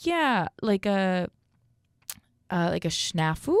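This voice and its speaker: A woman's voice: medium pitch, very animated, takes it slowly, clean tone, dry recording, clean studio sound. A woman chatting casually about languages as a radio show panelist, hesitant and often apologetic as she corrects herself.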